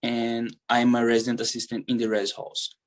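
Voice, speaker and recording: A man's voice: medium pitch, completely flat delivery, normal speed. A young man from Brazil with a Brazilian Portuguese accent, introducing himself as a college student. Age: young adult.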